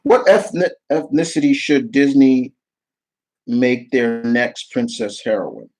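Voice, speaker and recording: A man hosting a casual livestream Q&A, speaking off the cuff in a halting way, repeating phrases and reading viewer questions aloud before answering. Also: medium pitch, lively intonation, darkish tone, low-quality recording.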